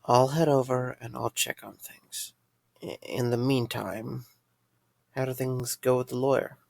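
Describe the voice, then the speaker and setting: An actor playing a young night guard, sarcastic but caring and a little weary, in an audio drama. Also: male voice, mid-range voice, steady tone, unhurried, creaky, a little mellow. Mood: concerned, dry.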